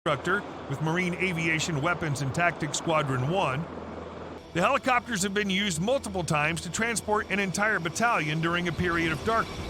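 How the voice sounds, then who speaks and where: A man narrates a military news video in a steady, formal read. His voice sits high, and loud engine and outdoor noise rumbles under every line. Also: brisk. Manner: even, formal, informational delivery. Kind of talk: scripted narration.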